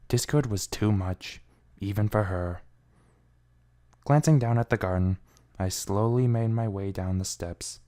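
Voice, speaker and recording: A man's voice: deep voice, dark tone. A man giving a brooding, theatrical first-person reading of a fan fiction story. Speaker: amateur fan fiction reader.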